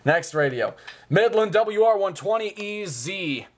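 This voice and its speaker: A man giving an informal, excitable show-and-tell commentary, often interjecting as he goes. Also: high voice, a little slow. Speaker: video host. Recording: clearly noisy home recording.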